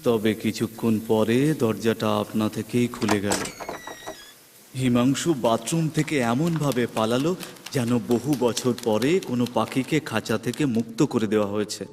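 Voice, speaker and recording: A man's voice: mid-range voice, a little slow, noisy background. A man narrating a scripted horror story in a suspenseful, dramatic way. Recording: narration over music. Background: music.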